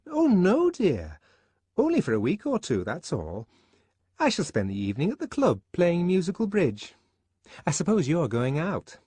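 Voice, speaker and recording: Man doing a shrill scolding busybody woman. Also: wildly swooping pitch, normal speed, dark tone, husky, dry recording, exaggerated voice. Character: prim fussy disapproving woman friend.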